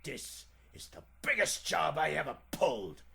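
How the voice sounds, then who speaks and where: A man's voice: a little high, sing-song, measured pace, creaky, sharp tone, voice pushed down, full character voice. A man playing a domineering, boastful gangster boss in a 1940s-style radio crime drama. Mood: indignant boasting. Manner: domineering, swaggering, tough-talking.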